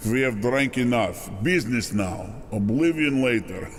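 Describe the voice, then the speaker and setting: A man's voice: medium pitch, slow, close to an everyday voice. A man playing a menacing, smug crime boss with a Russian accent and clipped, broken English, in an audio drama. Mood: dry amusement. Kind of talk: fan-made audio drama acting.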